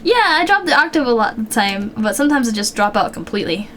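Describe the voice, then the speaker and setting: A woman streamer chatting loosely as she works on a drawing. Her talk wanders and is full of "um" and "like", and her voice is low-energy with a slightly tired throat. Faint keyboard typing can be heard behind her. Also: big pitch swings, fast, a bit bright, slight background noise. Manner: rambling, chatty, many fillers and restarts. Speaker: live streamer and artist. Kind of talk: casual live-stream chat while drawing.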